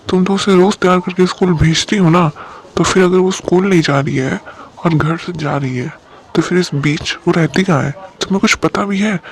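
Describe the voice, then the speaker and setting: A man playing an angry father who scolds and accuses in an animated moral story. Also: high voice, a little hiss or hum, lightly acted. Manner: accusing, indignant.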